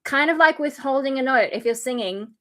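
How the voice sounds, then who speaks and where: A woman's voice: sits high, animated, brisk, a bit bright. A tired woman streamer and metal vocalist chatting casually, explaining screams with lots of fillers and harsh scream demonstrations. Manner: explains loosely with many fillers, breaks into harsh unvoiced scream demos. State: tired, voice worn to fry.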